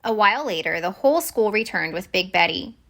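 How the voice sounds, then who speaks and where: A woman reading a children's picture book aloud as expressive story-time narration. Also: medium pitch, brisk, creaky.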